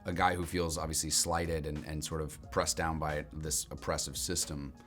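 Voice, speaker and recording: Laid-back, deep-voiced actor. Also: male voice, flat delivery, normal speed, a bit bright, soft background music. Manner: laid-back, loose slangy talk with lots of fillers. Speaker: lead actor. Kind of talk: casual interview answers.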